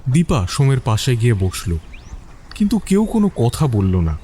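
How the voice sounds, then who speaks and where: A man narrating a scripted suspense story with deliberate phrasing and dramatic pauses. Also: mid-range voice, big pitch swings, mellow, slight background noise.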